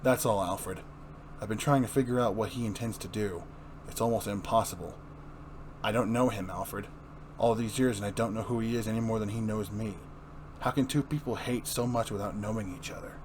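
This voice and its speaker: Guy doing dark broody superhero, voice dropped low, grave, bit of noise behind. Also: fairly even intonation, brisk, close to an everyday voice. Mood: brooding. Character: brooding, weary male masked vigilante. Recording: clearly noisy room recording.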